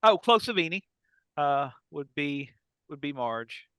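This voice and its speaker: A man's voice: a little high, expressive, a little slow, fairly bright tone, smooth, no echo. A man hosting a podcast, chatting in a casual, joking way with the other people on the show. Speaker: podcast host. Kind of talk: casual joking panel chat.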